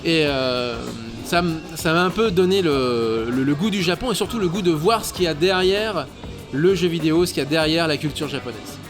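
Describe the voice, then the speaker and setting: Man, thirties, chatty interview guest, slightly high voice, stammering fillers, clear bar music behind. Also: animated, normal speed. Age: 30s. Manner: chatty, hesitant with many fillers and stammered repeats. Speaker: guest, radio show co-host. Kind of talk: casual interview chat.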